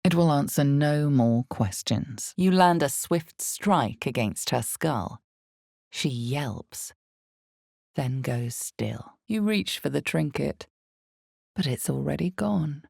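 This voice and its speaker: A woman voicing a grave, ominous fantasy game narrator, with a gravelly voice and a measured, dramatic delivery in scripted second person. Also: a bass voice, a little mellow, no room sound, clean studio sound, full character voice. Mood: hushed, grave. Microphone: close studio microphone, dry.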